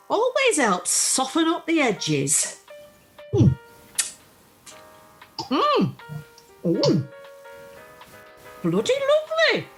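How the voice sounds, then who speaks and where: Woman playing chatty older Northern English widow, earthy, self-deprecating, swooping pitch, unhurried, amateur radio drama. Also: heavily acted. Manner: earthy, self-deprecating, uses homely dialect. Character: chatty, plucky older widowed woman caring for her mother. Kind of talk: amateur radio play acting. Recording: faint music, home video-call recording.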